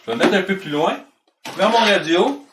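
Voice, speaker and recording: High-pitched Quebec French man, roomy distant sound. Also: lively intonation, normal speed, noisy background. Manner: explanatory, step by step, repeats key points. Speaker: electronics hobby instructor. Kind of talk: informal hands-on technical demonstration. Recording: roomy echo, distant microphone.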